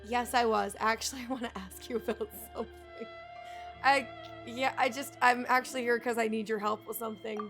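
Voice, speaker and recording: A woman's voice: fairly high pitch, fairly even intonation, a little fast, creaky, a bit bright. A woman game streamer giving casual live commentary, informal and chatty with wry asides. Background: game music.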